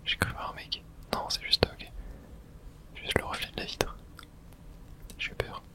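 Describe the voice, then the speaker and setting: A person whispering informal ASMR commentary while playing a video game, muttering reactions with some mild swearing in a breathy, unvoiced voice. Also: unhurried. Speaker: gamer streaming playthrough for viewers.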